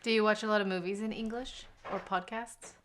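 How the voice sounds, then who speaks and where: An American woman from California, asking measured questions in a casual chat. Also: medium pitch, steady tone, fairly bright tone. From California, United States.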